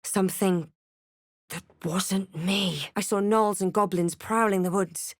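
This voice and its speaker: A woman playing a wry, brooding adventurer heroine in a fantasy video game, with a measured, dramatic delivery. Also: mid-range voice, fairly even intonation, no echo, clean studio sound, natural voice. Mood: brooding, solemn. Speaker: video game player-character voice actor. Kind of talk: scripted game narration and barks.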